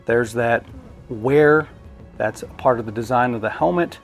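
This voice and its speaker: A man's voice: mid-range voice, a little slow. Casual male product reviewer over background music. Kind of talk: casual hands-on unboxing review.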